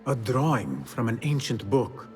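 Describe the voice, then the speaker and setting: Young male game assassin, polite n measured, formal talk, mid pitch, bit of music under it. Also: very animated, a little mellow. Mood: guarded, solemn. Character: courteous young male assassin in medieval Baghdad. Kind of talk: video game cutscene acting.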